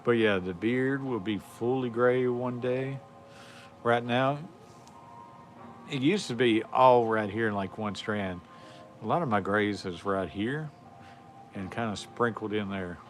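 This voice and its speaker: A man in his forties with a drawl talks in a low, easygoing voice. He rambles on a livestream, full of fillers and loose asides. Faint music plays underneath. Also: a little slow, long pauses. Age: 40s. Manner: rambling, laid-back, drawling with many fillers. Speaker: livestream host. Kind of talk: casual chat.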